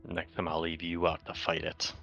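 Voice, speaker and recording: A man with a gravelly voice bantering as a player in a tabletop roleplaying game. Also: deep voice, monotone, moderate pace, a bit bright, muffled recording, soft background music. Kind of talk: casual in-character game banter.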